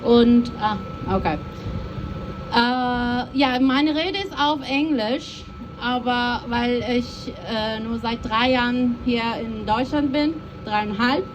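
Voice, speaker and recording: A woman from Turkey delivers a personal, emotional speech as a peace activist. Her voice is high and earnest. The recording is dull and muffled, with some faint noise. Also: takes it slowly. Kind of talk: prepared public speech, personal testimony.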